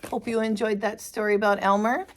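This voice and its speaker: A woman teacher reading a picture book aloud to children in an expressive storytelling style. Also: medium pitch, fairly quick. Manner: expressive, addresses young listeners. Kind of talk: animated read-aloud narration of a picture book.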